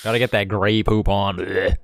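A man's voice: on the low side, little pitch movement, moderate pace, creaky, dry recording. A man chatting casually as he hosts a game livestream, jokey and opinionated, on a close mic. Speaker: gaming streamer and host.

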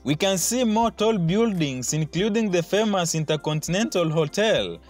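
A man's voice: high voice, expressive, normal speed. A man narrating a sightseeing tour as a travel vlogger. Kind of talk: tour guide narration over video. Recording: loud music under the voice. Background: loud background music.

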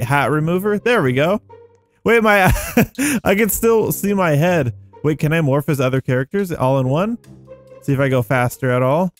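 High-voiced young man doing casual game commentary. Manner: easily amazed, rambling, thinking aloud. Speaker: gaming video host.

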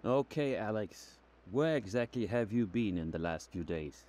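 An actor playing a calm, matter-of-fact male police officer asking probing questions in a video game. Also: fairly low pitch, normal speed, close to an everyday voice.

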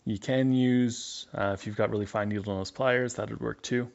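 A man's voice: fairly low pitch, fairly even intonation, normal speed, vocal fry, muffled recording. A man giving a casual, matter-of-fact instructional voice-over as a hands-on mechanic.

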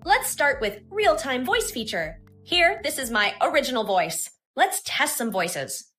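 A woman presenting software in a scripted tutorial narration. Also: high-pitched, big pitch swings, a little slow, faint music behind.

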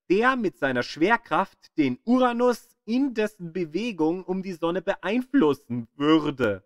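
A man reading a scripted educational audio guide in a measured, emphatic storytelling manner, with pauses. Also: high voice, no room sound, studio quality.

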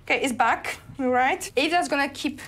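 A woman's voice: high-pitched, moderate pace, fairly bright tone. A young adult woman vet, chatty, explaining an exam step by step in a clinic room.

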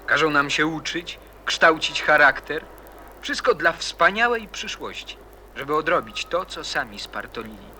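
Restless teenage boy with an animated bright voice played by a man. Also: on the high side, unhurried, a little hiss or hum, exaggerated voice. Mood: cynical, rebellious. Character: restless, rebellious, cynical teenage boy, persistent. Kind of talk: radio drama acting.

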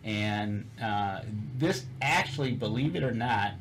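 A man's voice: fairly low pitch, lively intonation, takes it slowly, slight background noise, low-quality recording. A man casually recounting a fishing trip over photos, rambling with many fillers and repeated words.

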